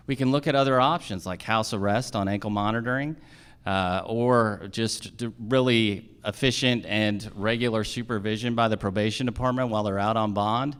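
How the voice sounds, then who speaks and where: A man with a fairly low, clear and resonant voice speaks as a candidate at a public forum. He lays out his position in plain, practical terms, explaining step by step. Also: a bit bright. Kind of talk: political forum answers.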